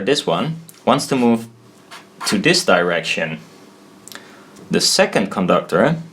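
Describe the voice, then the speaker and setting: A man giving an informal technical tutorial as he draws, halting, thinking aloud and correcting himself as he goes. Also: on the low side, fairly bright tone. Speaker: presenter of a hobbyist explainer video.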